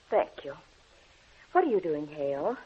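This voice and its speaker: A woman's voice: low-pitched, big pitch swings, takes it slowly, breathy edge, heavily acted. A woman playing an anxious, pleading scientist's wife in earnest, melodramatic 1940s radio style. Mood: uneasy. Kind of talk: 1940s radio drama acting. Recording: dull, lo-fi 1940s radio broadcast.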